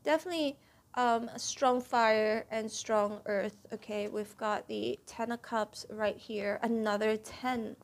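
A woman's voice: on the high side, fairly even intonation, measured pace. Woman, tarot reader, slightly stuffy voice, chatty, keeps saying "okay?", indoors at home table. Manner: chatty, repeats "okay?" to viewers, stresses key words. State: slightly stuffy, clears throat. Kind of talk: casual tarot reading to camera.

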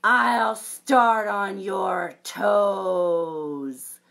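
A woman voicing a fierce, suspicious little bunny sister from a picture book, with loud, emphatic, drawn-out threats. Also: medium pitch, creaky, full character voice.

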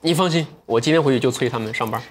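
A man's voice: medium pitch, rapid-fire, a little hiss or hum. A man in his thirties hosting a livestream sale from a studio set. He chats with viewers in a playful, self-mocking way and sometimes drifts into reflective asides. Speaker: livestream sales host.

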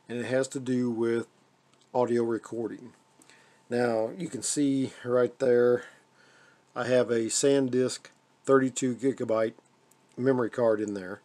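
An American man with a mellow, relaxed voice walks through a piece of equipment in a casual home video. His pitch is average for a man, and he talks without a script, halting and repeating phrases as he goes. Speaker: radio hobbyist demonstrating a receiver. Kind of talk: casual hands-on video demonstration. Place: indoors at a radio desk.

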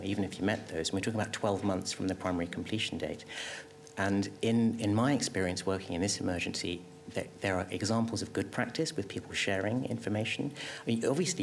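A middle-aged man speaking as an expert panelist, answering off the cuff at a table microphone with halting restarts and emphatic repetition. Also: fairly low pitch. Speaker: panelist, health agency official. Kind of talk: panel discussion answer, spontaneous expert talk. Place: conference auditorium panel table.

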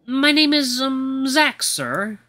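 Performer as small male retriever servant in training, storybook drama, timid and flustered, high animated voice. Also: moderate pace, full character voice. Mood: nervous, deferential. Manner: stammering, apologetic, timid. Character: tiny, anxious young male golden retriever butler in training.

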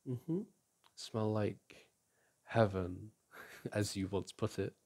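A young man in an intimate roleplay as a boyfriend, talking softly and teasingly to his partner, often sighing and chuckling. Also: on the low side, fairly even intonation, very slow. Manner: soft and teasing, with frequent sighs and chuckles. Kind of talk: intimate ASMR roleplay, speaking to an unseen partner. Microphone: close microphone.

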